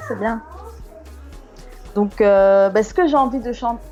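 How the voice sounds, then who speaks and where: A woman's voice: on the high side, unhurried, warm tone, muffled recording, soft background music. A woman singer as a guest in a casual chat, speaking frankly and directly.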